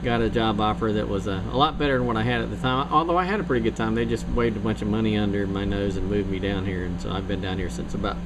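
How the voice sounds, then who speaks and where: A man's voice: lowish voice, quick pace, few pauses. A man from South Carolina chatting over shortwave radio as an operator, in an easygoing, rambling way. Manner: easygoing, chatty, loose with fillers. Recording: heavy static on a radio signal.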